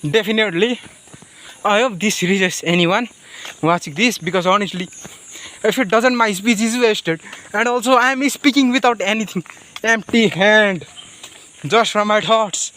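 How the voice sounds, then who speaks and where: A man with a Nepali accent speaking off the cuff in a personal monologue, halting and rambling, often repeating his phrases. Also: sits high, pushed and bright. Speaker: vlogger.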